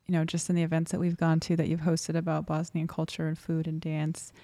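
A woman hosting an interview, asking long rambling questions that trail off softly. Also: sits low, completely flat delivery, brisk, dry recording. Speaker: podcast host interviewer. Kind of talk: warm conversational interviewing.

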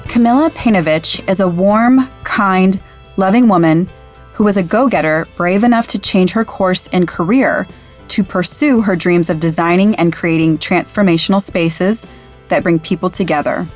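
A woman's voice: lowish voice, normal speed, a little mellow, soft background music. A woman hosting a podcast interview, talking in an enthusiastic, effusive way. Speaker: podcast host.

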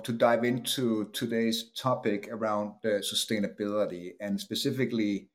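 A man hosting a podcast interview, welcoming a guest and asking questions with friendly, hesitant restarts. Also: lowish voice. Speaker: podcast host.

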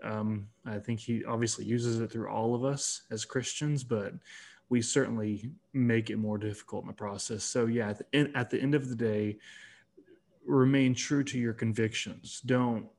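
A man's voice: medium pitch, steady tone, normal speed, darkish tone. A man in his twenties, a guest author in a casual interview, talking earnestly with many restarts and fillers. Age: 20s.

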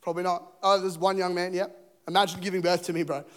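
A young man preaches with an Australian accent, though he was born in England. His voice sits high, and he keeps the sermon light with jokes at his own expense. There is a slight roughness in his throat. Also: flat delivery, quick pace, fairly bright tone. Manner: jokey, self-deprecating, acts out voices. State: voice a bit rough. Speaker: pastor. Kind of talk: humorous sermon. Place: church auditorium.

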